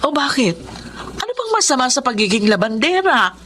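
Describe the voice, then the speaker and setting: A woman's voice: high voice, very animated, brisk, fairly bright tone. A Filipino woman playing a poor, hardworking, loving mother in an emotional Tagalog radio drama. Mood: earnest, determined. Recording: faint background noise.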